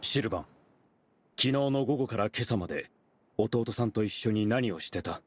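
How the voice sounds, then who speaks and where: A man playing a serious, blunt investigator who questions suspects in a Japanese game, with a husky voice. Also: fairly low pitch, animated, normal speed. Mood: serious, probing. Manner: blunt, inquiring.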